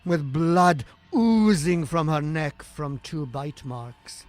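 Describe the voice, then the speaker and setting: A man's voice: on the high side, animated, takes it slowly. A man narrating a scripted gothic horror tale in a theatrical, suspenseful storytelling manner with swelling emphasis. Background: music.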